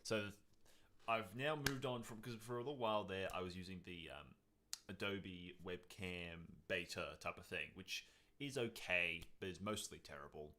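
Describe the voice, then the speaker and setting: A man co-hosting a podcast, chatting casually in an animated, jokey way. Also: deep voice. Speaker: podcast co-host. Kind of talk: casual chat.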